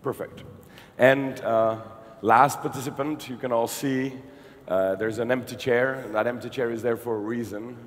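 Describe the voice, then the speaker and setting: A man's voice: mid-range voice, fairly even intonation. A man moderating a conference panel, chatting and joking with frequent restarts. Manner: joking, conversational, halting restarts. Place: conference hall. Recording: reverberant hall with faint noise.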